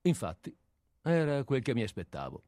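A man acts out a composed gentleman in a radio play, receiving an intruder at night. He is courteous and measured, with a dry politeness. The voice is medium in pitch and closely recorded, without echo. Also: wildly swooping pitch. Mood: calm, dry.

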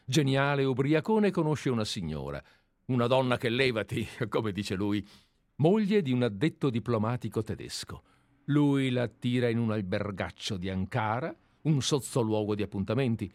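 A man's voice: medium pitch, darkish tone, no room sound, lightly acted. A man reading the part of a fawning, meticulous male amateur investigator in a radio play, talking with effusive enthusiasm.

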